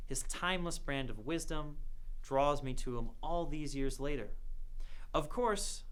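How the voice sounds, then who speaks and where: A man presenting and narrating a scripted documentary with a measured storyteller's delivery. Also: mid-range voice, fairly bright tone.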